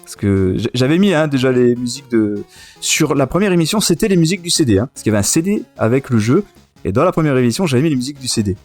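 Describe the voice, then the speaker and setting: A man's voice: medium pitch, big pitch swings, fast talker, darkish tone, faint music behind. A man in his thirties hosting a casual podcast chat, enthusiastic and often repeating himself. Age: 30s. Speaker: podcast and web radio host. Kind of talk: casual chat, reminiscing about retro gaming.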